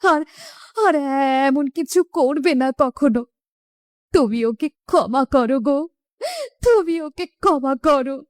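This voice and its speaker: A woman's voice: sits high, animated, takes it slowly, no echo, studio quality. An actress playing a middle-aged mother and wife, lamenting emotionally in a horror cartoon story. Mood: pleading.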